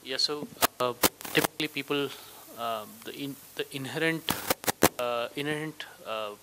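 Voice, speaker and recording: A man asking a question from the audience, halting and searching for words. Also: medium pitch. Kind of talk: spontaneous question from the floor. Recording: hissy, noisy conference hall audio.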